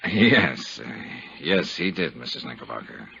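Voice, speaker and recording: An actor playing a hard-boiled insurance investigator in a 1950s radio drama, clipped, dry and matter-of-fact. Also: male voice, a bass voice, sing-song, a little slow, vocal fry, bright, forceful tone, a little hiss or hum, exaggerated voice. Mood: polite, careful. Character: brisk, hard-boiled insurance investigator narrating his case. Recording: dull 1950s radio recording, music behind.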